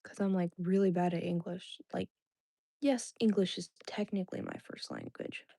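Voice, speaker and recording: Young woman chatting on a stream, gravelly. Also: fairly low pitch, steady tone, moderate pace, mellow, no room sound. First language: Indonesian. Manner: rambling, filler-heavy, many "like"s and restarts. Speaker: livestream host. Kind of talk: casual chat with live chat viewers.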